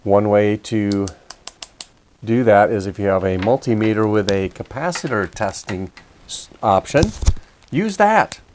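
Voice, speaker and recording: A man's voice: on the low side, animated, unhurried, a little mellow, a little hiss or hum. A man giving a casual hands-on repair walkthrough, explaining things methodically, step by step. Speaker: repair video host.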